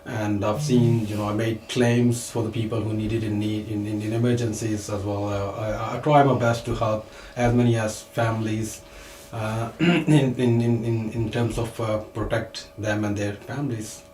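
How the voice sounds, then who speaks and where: A man from Pakistan, a financial advisor guest on a talk show, speaking hesitantly with many fillers and repeated words and clearing his throat often. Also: on the low side, little pitch movement, moderate pace, darkish tone, echoey room, rough recording. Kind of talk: studio talk show interview.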